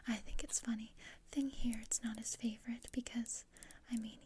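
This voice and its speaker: Woman acting gothic teen girl, breathy whisper, higher-pitched, flat delivery, morbid, bossy, condescending, ASMR roleplay, close mic. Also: moderate pace, lightly acted. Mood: morbid, deadpan. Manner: deadpan, dry, matter-of-fact. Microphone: close ASMR microphone. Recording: close-up with clear noise and clicks.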